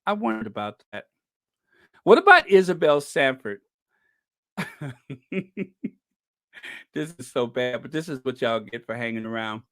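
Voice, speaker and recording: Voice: medium pitch, very animated, unhurried, long pauses, fairly bright tone. A live-stream host signing off in casual, rambling chat, joking and chuckling and calling chat viewers by name.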